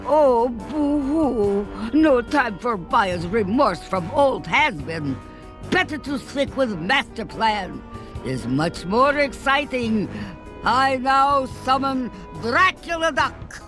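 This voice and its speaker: A woman's voice: on the high side, animated, takes it slowly, poor audio. A woman voicing a scheming, spiteful sorceress duck who speaks broken English without articles, over game music. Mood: gloating.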